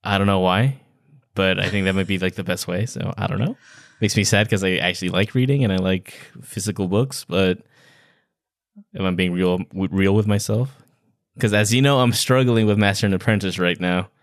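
A man with a fairly low voice, recorded close and dry. He chats casually as a podcast co-host, with a playful, teasing streak. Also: normal speed, dry recording. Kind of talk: casual book-review chat.

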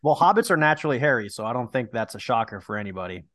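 A man's voice: mid-range voice, animated, racing, a bit bright, no room sound, clean studio sound. A man hosting a podcast, giving hyped, joking introductions in casual chat. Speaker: podcast host.

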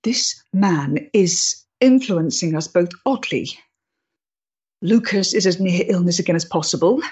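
A woman's voice: fairly low pitch, expressive, a little slow, a little mellow, breathy edge, muffled recording, heavily acted. An actress playing a proud, intense, troubled freethinking woman of the 1890s in a period stage drama, speaking emphatically and articulately. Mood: tormented.

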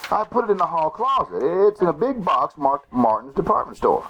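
A man playing an animated, practical radio-comedy husband. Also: fairly high pitch, brisk, dull audio, background noise. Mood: brisk, calm. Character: mild, matter-of-fact husband and dutiful son. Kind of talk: 1940s radio comedy acting on stage.

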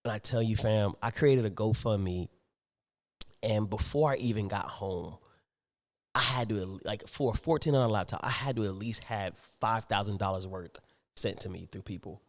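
Excitable slangy man in a reverberant hall. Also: fairly low pitch, moderate pace. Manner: excitable, slangy, acts out quoted voices. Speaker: podcast host. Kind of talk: casual storytelling chat.

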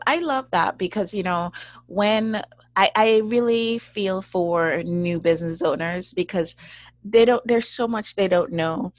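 Low-voiced woman hosting an interview show. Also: no room sound. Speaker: show host. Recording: dry, slightly dull sound.

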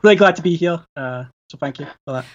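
A man's voice: on the high side, fast, highs cut off. A man with a Glasgow accent, a podcast guest chatting casually, hesitant with many fillers and restarts. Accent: Glaswegian. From Scotland. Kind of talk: casual chat.

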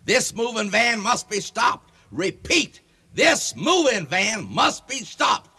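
High-pitched, pompous crusading man. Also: very animated, slow pace, sharp tone, slightly rough, full character voice. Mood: urgent alarm. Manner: pompous, declamatory. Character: pompous, paranoid moral-crusader man, excitable and self-righteous. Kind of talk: comic radio drama acting.

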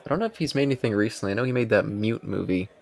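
Guy with a lowish voice n lots of fry, rambling thru casual film chat on a podcast. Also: lively intonation, very fast. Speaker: podcast co-host. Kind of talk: casual chat about films.

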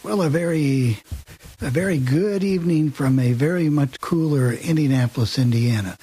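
Husky-voiced man hosting a radio show. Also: fairly high pitch, normal speed, mellow. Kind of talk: casual chat.

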